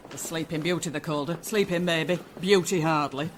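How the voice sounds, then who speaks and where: An actor playing a fussy, sardonic British hospital doctor in a radio drama, speaking in a precise, wordy, indignant way. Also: male voice, fairly high pitch, steady tone, brisk, a little hiss or hum, lightly acted.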